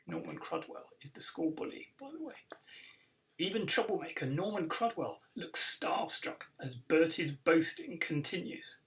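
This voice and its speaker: A British man, an author, giving an expressive reading of a children's story. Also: a little high, normal speed, distant mic. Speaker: author reading own book.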